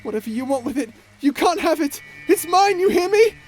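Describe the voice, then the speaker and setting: A man voicing a possessive male octopus alien, frantic and stammering in a loud, shrill voice. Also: lively intonation, a little fast, faint music behind. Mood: enraged, possessive.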